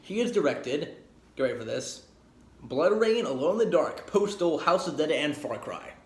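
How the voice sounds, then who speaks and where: A man's voice: on the high side, lively intonation, moderate pace. A man hosting an informal video review to camera, speaking in a blunt, opinionated, rambling way. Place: home garage, indoors. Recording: echoey room with faint background noise.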